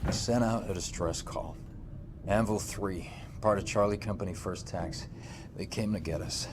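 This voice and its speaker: A man's voice: sits low, moderate pace, noisy background. An actor playing a defensive male army sergeant under interrogation, talking in a clipped, urgent way. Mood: tense.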